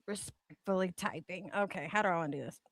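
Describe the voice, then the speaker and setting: A woman co-hosting casually, chatting and reading online comments aloud in an animated, exaggerated way. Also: on the low side, fast talker, dry recording, clean studio sound. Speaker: co-host.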